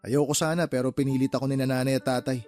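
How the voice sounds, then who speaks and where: A man's voice: medium pitch, flat delivery, fast, a little mellow, soft background music, lightly acted. A man acting a resentful, hardworking younger brother in a radio drama, indignant and accusing toward his relatives. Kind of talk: radio drama dialogue in colloquial Tagalog.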